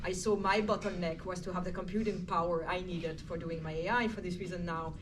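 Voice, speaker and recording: A woman's voice: lowish voice, steady tone, a little fast. A woman from Switzerland with a French accent, speaking as a panelist with frequent hesitant fillers. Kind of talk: panel introduction. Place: conference panel stage. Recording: noisy distant room mic.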